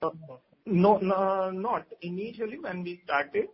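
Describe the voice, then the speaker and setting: A man with an Indian accent answering questions as a company executive on an earnings call, in a halting, hesitant way. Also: high voice, slightly rough. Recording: dull lo-fi conference call audio.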